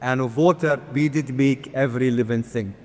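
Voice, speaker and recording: A man's voice: mid-range voice, steady tone, normal speed. A man giving a conference presentation, earnest with touches of light humor, asking for the next slides. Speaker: conference speaker. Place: large conference hall. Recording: long hall reverb.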